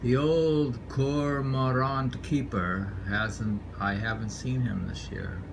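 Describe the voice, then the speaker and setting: A man reading poetry informally, unhurried and rambling, repeating lines and adding offhand commentary. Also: mid-range voice, fairly even intonation, gravelly. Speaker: poetry reader. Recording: noisy room ambience, amateur home recording.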